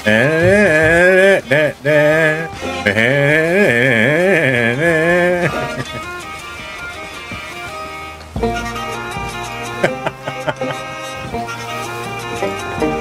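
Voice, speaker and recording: A man's voice: on the high side, animated, drawn-out words, long pauses, over music. A man talking casually with his crewmates during a video game. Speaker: gamer playing co-op with friends. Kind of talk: casual gaming chat.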